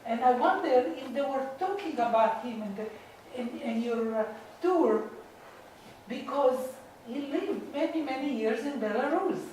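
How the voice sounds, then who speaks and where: A woman's voice: high-pitched, lively intonation, unhurried, darkish tone, recorded from a distance, slight background noise. A woman moderating a Q&A and asking a question, rambling and searching for words. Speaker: event moderator. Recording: echoey hall, voice from afar.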